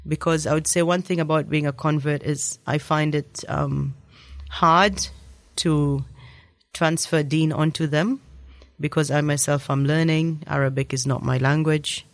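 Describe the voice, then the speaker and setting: A woman's voice: low voice, fairly even intonation, unhurried, dry recording. A woman in her thirties, originally from Kenya, thoughtfully telling her story in a casual interview with frequent ums. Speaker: interview guest.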